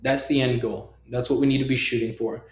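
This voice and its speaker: A man's voice: medium pitch, fairly even intonation, fairly quick. A middle-aged man giving an informal, emphatic, rambling tech talk, punctuated with short checking questions. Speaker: software developer presenting own project. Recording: echoey room.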